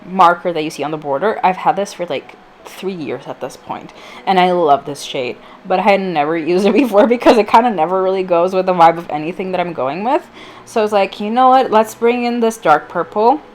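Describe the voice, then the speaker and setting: A woman's voice: fairly low pitch, slight background noise. Chatty woman vlogger with allergy-affected voice. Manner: informal, rambling, self-deprecating, filler-heavy. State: slightly off voice from allergies. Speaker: vlogger, channel host. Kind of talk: casual chatty voice-over for a craft video.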